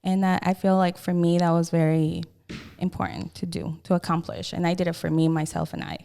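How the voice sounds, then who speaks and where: A woman in her thirties with a Salvadoran accent speaks in a low, gravelly voice with some vocal fry. She is a podcast guest who tells her story frankly and openly, and she fills her pauses with "um" and "you know". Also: flat delivery, dark tone. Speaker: guest, loan officer. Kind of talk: candid podcast conversation.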